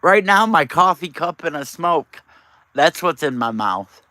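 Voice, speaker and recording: A man's voice: on the high side, sing-song, unhurried, pushed and bright. A man, chatting casually and teasingly with viewers on his live stream. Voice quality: raspy.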